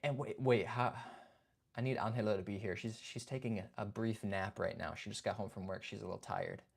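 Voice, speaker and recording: A man's voice: lowish voice, fairly even intonation, fairly quick, gravelly. A young man hosting a casual livestream chat. He reads viewer comments, greets people by name and keeps saying "man" and "dude". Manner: laid-back, chatty, says "man" and "dude". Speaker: livestream host.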